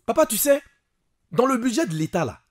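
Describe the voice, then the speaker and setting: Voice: medium pitch, very animated, brisk, faint music behind, lightly acted. A performer playing a friendly, patient guide who explains things in simple everyday words in an educational cartoon. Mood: patient, explanatory. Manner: didactic, simple everyday wording. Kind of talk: animated educational film, explanatory dialogue.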